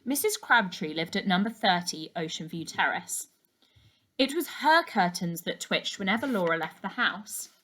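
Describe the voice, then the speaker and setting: A woman narrating a children's novel aloud as a teacher would to a class, in an expressive storytelling style. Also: medium pitch, normal speed.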